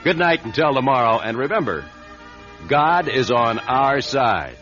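An actor playing a flamboyant, self-absorbed late-night talk host in a radio drama, with a theatrical, grandiose manner. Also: male voice, medium pitch, expressive, unhurried, muffled recording, over music, natural voice. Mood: showy. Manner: theatrical, mock-gallant and grandiose.

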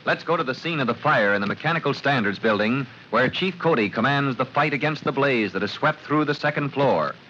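Male 1940s radio announcer, sharp dramatic narration, slightly noisy vintage sound. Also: medium pitch, animated, brisk, hardly any pauses. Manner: dramatic, declamatory. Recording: muffled old radio recording, faint noise.

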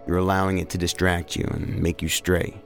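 Plain-spoken investigator, very low gravelly voice, direct, matter-of-fact, audio drama, music behind. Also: male voice, little pitch movement, fairly quick. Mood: firm, reassuring. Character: level-headed, plain-spoken male federal agent.

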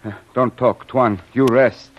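An actor playing a loyal, solemn manservant who speaks earnest, terse broken English in an old radio serial. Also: male voice, mid-range voice, steady tone, measured pace, close to an everyday voice. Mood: grave. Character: loyal, solemn native manservant and sharpshooter, adult male. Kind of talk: 1930s radio serial acting. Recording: muffled vintage radio recording.

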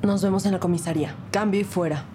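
A woman's voice: medium pitch, steady tone, fast, background noise, close to an everyday voice. An actress playing a composed, firm police detective who narrates her case in a scripted crime audio drama. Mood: urgent, firm. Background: music bed.

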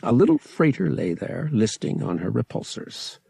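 A man reading a science fiction novel aloud as an audiobook narrator, with expressive storytelling. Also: mid-range voice, normal speed, gravelly, slightly rough, no room sound, studio quality. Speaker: audiobook reader.

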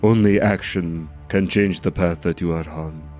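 A stony, anguished fantasy warrior brother, performed by a male player, very low and dark in tone. The speech is formal and grave, proud and wounded, with faint music underneath. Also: steady tone, a little slow. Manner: grave, formal, theatrical speech without contractions. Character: brooding, proud, hardened brother, stoic yet anguished.

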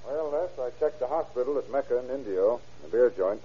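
An actor playing a blunt, brisk male detective sidekick with slangy talk, in an old radio crime drama. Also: medium pitch, lively intonation, dark tone, breathy edge. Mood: businesslike. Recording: muffled vintage radio show recording.

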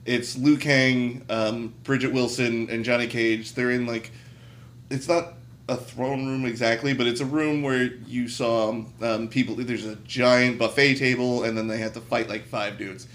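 A man's voice: mid-range voice, steady tone, unhurried, a bit bright. A man in a casual, joking group chat, telling things in a wry, irreverent way. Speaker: podcast co-host.

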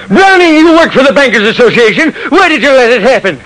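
Excitable, very high-voiced indignant man. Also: a little fast, heavily acted, poor audio. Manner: excitable, emphatic. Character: agitated, indignant men caught up in a robbery. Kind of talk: classic radio drama acting.

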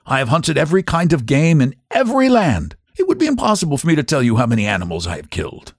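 A man voicing a courteous, menacing aristocratic general who hunts, talking slowly and deliberately in a higher put-on voice. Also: huge pitch swings, dry recording, clean studio sound, heavily acted. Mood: suave, boastful. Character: suave aristocratic male general, a hunter, menacing.

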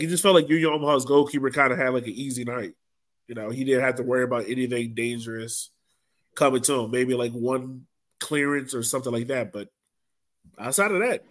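A man hosting a casual sports recap podcast in a conversational way, drawing others into the discussion. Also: medium pitch. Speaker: podcast host.

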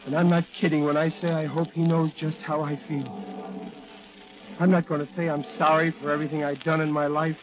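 A man acting a gruff but sincere American Marine in an old radio play. His voice sits fairly high and he talks in blunt, halting, working-class phrases. The broadcast is muffled, with music clearly underneath. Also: level tone, lightly acted. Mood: earnest, weary. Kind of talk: 1940s radio drama acting.

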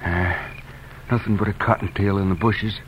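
A man's voice: deep voice, fairly even intonation, moderate pace, dull audio, lightly acted. An actor playing a shifty, grumbling bandit henchman with an American Western accent in an old-time radio western. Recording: very noisy old radio drama recording.

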